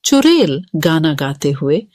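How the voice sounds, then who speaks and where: A woman storyteller reading a scripted tale for children. Her voice is low, with animated rises and falls. The recording is very dry. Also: takes it slowly, dry recording. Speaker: narrator.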